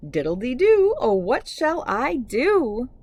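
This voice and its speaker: Woman voicing a high-pitched, panicky little storybook girl. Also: big pitch swings, takes it slowly, exaggerated voice. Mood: singsong dismay. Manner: yelling, exclaiming in singsong. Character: panicked little girl chasing her runaway pumpkin. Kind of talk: children's storybook read-aloud character.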